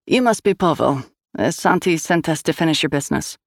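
A man voicing a wary, level-headed young woman investigator in a plain, calm and firm manner. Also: a little high, a little fast, creaky, dry recording, studio quality.

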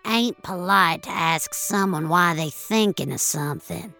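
A woman's voice: on the low side, unhurried, bright, forceful tone, faint music behind. A woman voicing a blunt, gloomy old country grandmother in an audio story. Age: older. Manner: folksy, blunt, fatalistic musing.

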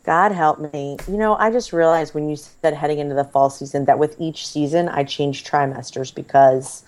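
A woman, likely in her thirties, chatting casually as a podcast co-host, her voice slightly hoarse and froggy, rambling and self-deprecating. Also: deep voice, steady tone, moderate pace, a little mellow.

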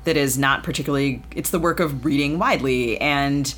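Low-voiced woman host, musing with fillers, faint hiss in the background. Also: a little fast, a bit bright. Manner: thoughtful, many fillers. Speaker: podcast host. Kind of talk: casual podcast conversation.

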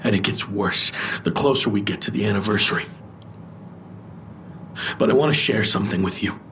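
An actor in an audio drama playing an intense, wounded trauma survivor, speaking in an earnest, insistent, confessional way. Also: male voice, lowish voice, normal speed, fairly bright tone, a little hiss or hum, close to an everyday voice. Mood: confessional, tense. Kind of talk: naturalistic audio drama acting.

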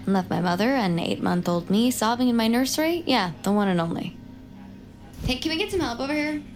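A woman's voice: a little high, expressive, fairly quick, soft background music, natural voice. An actress playing an intense young woman in an audio drama, glib and socially blunt, with naturalistic delivery. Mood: glib, insistent. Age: young adult. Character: glib, intense young woman, awkward true-crime survivor.

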